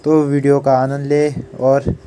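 A man's voice: mid-range voice, monotone, measured pace, dark tone, slight background noise. A young man from the hills of northern India, narrating a casual travel vlog on a phone held at arm's length outdoors and in a car, talking chattily to viewers. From Uttarakhand hills, India. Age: young adult. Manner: chatty, addresses viewers directly. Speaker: vlogger. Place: outdoors on hill roads and in a car. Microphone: handheld phone camera at arm's length.

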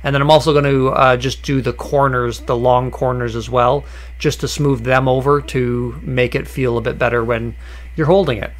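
A man at an ordinary middle pitch talks through his workshop steps in an easygoing voice-over. He pauses often on "uh" and restarts a phrase here and there. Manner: relaxed and conversational, with many "uh" fillers and restarts. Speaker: woodworking channel host. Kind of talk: voice-over narration of a workshop project.

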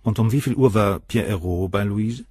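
A man's voice: lowish voice, moderate pace, warm tone, breathy edge, dry recording, studio quality. An actor in a German crime radio play playing a cold, cynical middle-aged surgeon, detached and coolly superior as he answers questions. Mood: cool, composed.

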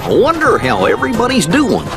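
An actor playing a good-natured, slow-witted cartoon dog in a video game, dopey, folksy and earnest. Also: male voice, extremely high pitch, wildly swooping pitch, music in the background, heavily acted. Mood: earnest, puzzled.

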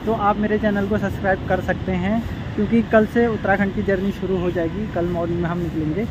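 Brief: young male motovlogger with a raised, light voice, chatty and explanatory, street traffic noise loud under him. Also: sits high, level tone, fairly quick, highs cut off. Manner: chatty, explains each step to viewers. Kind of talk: casual vlog talk to camera. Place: outdoors by a city roadside, later riding a motorbike. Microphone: phone camera, then helmet-mounted action camera. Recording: noisy street recording with traffic and wind. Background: traffic and vehicle noise.

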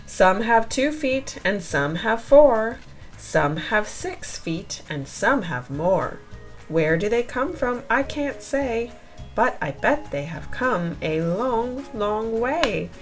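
A woman reading a children's picture book aloud in an expressive, sing-song storytelling style with deliberate phrasing. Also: on the high side, soft background music.

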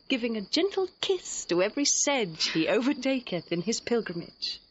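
Young noblewoman in Shakespearean radio play: headstrong, romantic, lyrical, breathy-husky tone, slightly dull recording. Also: mid-range voice. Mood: ardent, dreamy. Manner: ardent, lyrical verse delivery. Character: lovestruck, headstrong young noblewoman, eloquent and playful. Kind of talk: classical Shakespearean audio drama.

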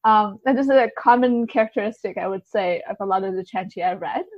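A woman's voice: medium pitch, steady tone, quick pace, no room sound, highs cut off. A woman speaking as a podcast guest in a casual literary discussion, informally hedging her points with many small filler phrases. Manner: hedging, informal, repeats "kind of" and "like".

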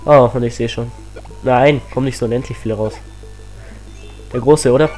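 A teenage boy with a cold, chatting excitedly as he plays a platform game on his channel, full of rambling asides. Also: mid-range voice, moderate pace, soft background music, rough recording. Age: teens. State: has a cold. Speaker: let's play host. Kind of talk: casual game commentary.

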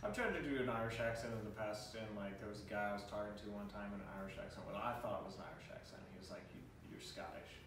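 A relaxed young man talks as an interview guest, with a slightly low voice and an easygoing, rambling way of speaking. He is picked up from across a bare living room, so his voice sounds distant and very echoey, with faint ambient noise behind it. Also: racing, hardly any pauses. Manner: laid-back, loose with many "like" and "word". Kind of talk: casual conversation. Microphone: far camera.

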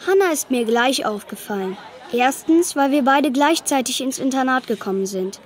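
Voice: sits high, animated, moderate pace, a faint crowd. A young performer playing an exasperated ten-year-old boy in a children's radio play, grumbling casually. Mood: fed-up confiding. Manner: casual, grumbling, youthful slang.

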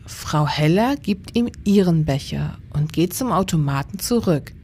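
A woman's voice: low voice, lively intonation, unhurried, mellow, a little hiss or hum. Woman playing a terse, determined police inspector. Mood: businesslike. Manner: terse, no-nonsense. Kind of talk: German crime audio drama acting.

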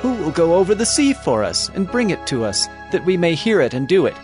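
A man's voice: a little high, sing-song, brisk, over music. An actor playing a questioning man among the people in a scripture audio drama.

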